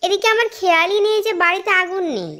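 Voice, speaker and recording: A woman voicing a devout, caring and easily flustered young wife in a moral-tale cartoon, polite and expressive. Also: very high voice, fast talker, a little hiss or hum, full character voice. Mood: caring, concerned.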